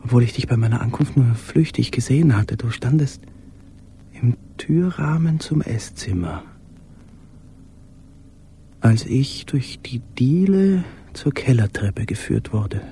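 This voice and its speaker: An actor playing a confined, brooding, tender man who recites letters in a radio drama, hesitant and dreamy, with a breathy half-whisper. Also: mid-range voice, animated, measured pace, mellow, a little hiss or hum. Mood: dreamy, hushed.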